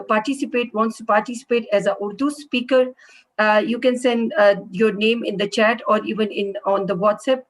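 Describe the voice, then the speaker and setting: A woman's voice: fairly high pitch, rough recording. Polite woman hosting a video call. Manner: polite, many hesitations and fillers. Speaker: meeting moderator. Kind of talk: hosting an online meeting.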